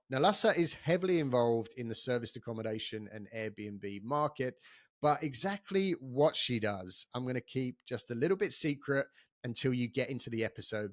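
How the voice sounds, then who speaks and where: A man's voice: medium pitch, no echo, clean studio sound. A man hosting a podcast, chatty and enthusiastic, talking casually with many restarts. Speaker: podcast host.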